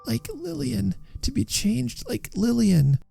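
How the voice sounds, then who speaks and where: A man voicing a despairing young mother in a story, hushed and desperate. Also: very high-pitched, sing-song, slow pace, creaky, breathy edge, faint music behind, voice pushed up, exaggerated voice. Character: despairing young mother, faint and low-voiced.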